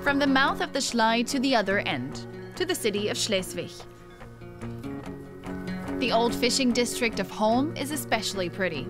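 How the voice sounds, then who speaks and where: A young adult woman presenting a travel show, speaking in clear, measured scripted narration. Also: on the high side, expressive, over music.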